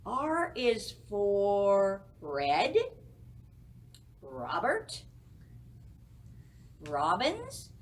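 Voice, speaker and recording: A woman speaks very slowly to small children, with a highly animated, sing-song delivery. She is leading a story time, prompting with questions, and sounds distant from the mic over faint noise. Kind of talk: leading letters and counting for young children.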